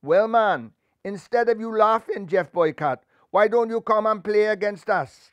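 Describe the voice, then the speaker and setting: A man's voice: sits high, measured pace, clear, resonant tone, dry recording, lo-fi sound, voice pushed up. A man voicing a laid-back, friendly male rabbit cricketer with a Caribbean accent in a storybook. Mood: inviting.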